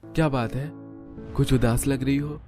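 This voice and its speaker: A man voicing an affectionate, playful young husband in an animated family drama, speaking tenderly and teasingly. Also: mid-range voice, measured pace, soft background music, natural voice. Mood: concerned.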